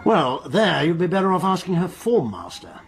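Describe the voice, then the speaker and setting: A courteous but guarded school headmaster, formal and precise, with a somewhat high voice. A faint hiss of noise lies under this radio drama scene. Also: male voice, big pitch swings, normal speed. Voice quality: polite, formal and a touch evasive.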